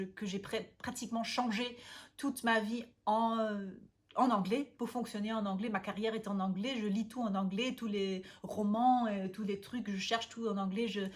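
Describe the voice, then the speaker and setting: A young woman from Vienna whose first language is Austrian German, chatting casually in a vlog, halting and full of fillers, with frequent self-amused laughs and stray English words. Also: medium pitch. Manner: laughs often at herself and slips into English words. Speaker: language vlogger.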